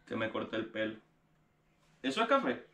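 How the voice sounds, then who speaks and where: A man performs a puzzled young man questioning what he was given to drink. His pitch moves widely, and he sounds distant in a roomy, echoing space, like audio from a played video clip. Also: big pitch swings, normal speed, sharp tone, heavily acted. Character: confused young man waking from odd dreams.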